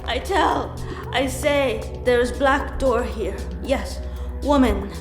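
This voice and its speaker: A woman playing a meek, frightened nurse who speaks in halting, simple phrases in an audio drama. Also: high-pitched. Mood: terrified, pleading. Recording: echoey reverberant room with music.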